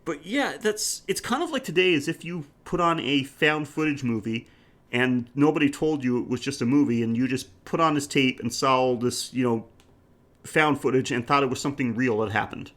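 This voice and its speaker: A man with a medium-pitched voice co-hosts a podcast. He talks in a loose, conversational style, discussing films. His manner is jokey and full of banter. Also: normal speed, a bit bright. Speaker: podcast co-host. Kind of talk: casual film discussion chat.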